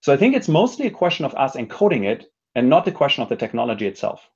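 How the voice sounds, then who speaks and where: A man's voice: medium pitch, big pitch swings, fast, darkish tone, slightly rough, highs cut off. A man speaking as an interview guest, explaining ideas conversationally and often checking in with "right?". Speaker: podcast guest, entrepreneurship expert.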